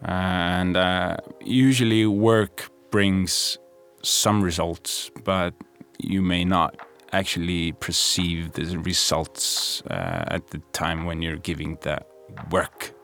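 A man's voice: sits low, slow, few pauses, fairly bright tone. A man from Estonia, a music producer, answering interview questions in a thoughtful, hesitant way with many fillers. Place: podcast studio.

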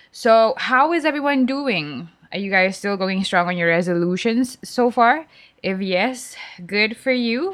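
A woman, hosting a solo podcast in a chatty, jokey way with quick self-mocking asides. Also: mid-range voice, a bit bright. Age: 30s. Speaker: podcast host. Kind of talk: casual solo podcast monologue.

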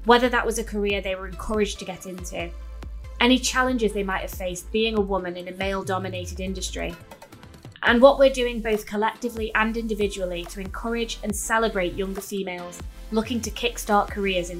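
Woman podcast host, faint music. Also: medium pitch. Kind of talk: scripted introduction and interview questions.

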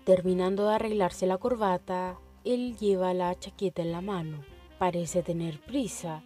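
A woman reading stage directions aloud for a radio play in an even, measured way. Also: on the low side. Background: faint jazz music.

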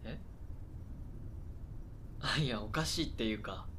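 A man's voice: on the low side, unhurried, bright, forceful tone, a little hiss or hum. A man acting a defensive, awkward childhood friend in his twenties in a radio drama, hedging and speaking in blunt casual phrases. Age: 20s. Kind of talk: naturalistic radio drama dialogue.

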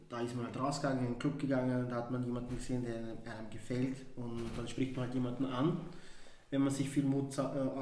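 A man in his twenties, a podcast guest, talking in an easygoing, halting way. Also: mid-range voice, a little mellow, echoey room. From Vienna, Austria. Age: 20s. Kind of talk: casual podcast chat. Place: podcast studio.

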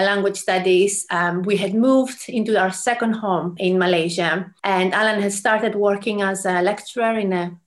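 Greek-born woman telling a story. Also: medium pitch. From Greece. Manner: animated, with frequent fillers and restarts. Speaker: mission representative, guest. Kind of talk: conversational storytelling.

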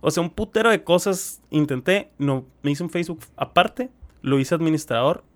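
A man with a Mexican Spanish accent co-hosting a podcast, chatting casually with crude slang and animated retellings. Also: on the high side, normal speed. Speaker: podcast co-host. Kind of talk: casual chat.

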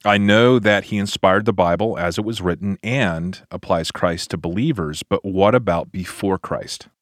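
A man's voice: deep voice, normal speed, dry recording, clean studio sound. A man speaking as a podcast host and pastor, in a casual, conversational way.

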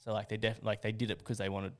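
An Australian man in his early thirties, guest on a casual podcast. He talks fast in a low voice with little rise and fall. His sentences run on with lots of "like". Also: flat delivery. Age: 30s. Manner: quick, full of "like". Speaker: band member, guest.

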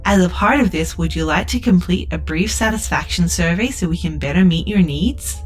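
A woman's voice: fairly low pitch, moderate pace, soft background music, natural voice. A woman playing a relentlessly cheerful customer-service AI in a science fiction audio drama, with scripted, over-polite corporate patter. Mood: eager, officious. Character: relentlessly upbeat customer-service AI of a budget spaceliner.

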